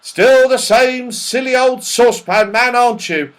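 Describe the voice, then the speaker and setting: Guy putting on a loud hearty old friend in a kids' story, high voice, bit exasperated but fond. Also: unhurried, clear, resonant tone. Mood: fondly exasperated. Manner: hearty calling out. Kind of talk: children's storybook character voice.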